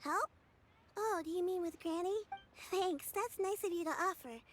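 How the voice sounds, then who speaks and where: Really high sweet young girl, polite game character looking after granny, faint music. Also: normal speed. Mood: apologetic, open. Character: polite, caring young granddaughter, cheerful girl. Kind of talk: video game voice acting.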